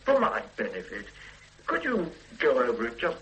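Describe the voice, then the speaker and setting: A man playing a polite, hesitant police superintendent in a radio drama, filling pauses with ums and hums. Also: medium pitch, huge pitch swings, a bit bright, rough recording. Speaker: police officer.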